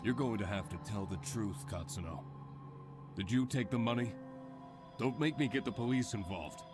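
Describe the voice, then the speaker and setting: A man's voice: on the low side, expressive, normal speed, close to an everyday voice. An actor playing a stern, accusing male store manager in a visual novel, formal and condescending. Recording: music behind.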